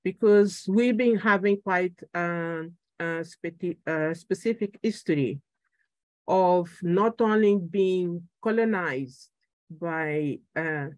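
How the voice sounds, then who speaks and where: A middle-aged woman from Angola, a native Portuguese speaker, gives a political talk on a video call, halting and searching for words. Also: lowish voice, dry recording. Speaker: political party speaker at a conference. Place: room at home.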